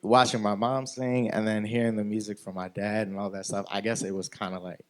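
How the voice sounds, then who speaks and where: Guest, man, early 20s, low voice, easygoing chatter. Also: brisk. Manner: relaxed, says "like" a lot, laughs. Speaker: guest singer. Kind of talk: casual interview answers.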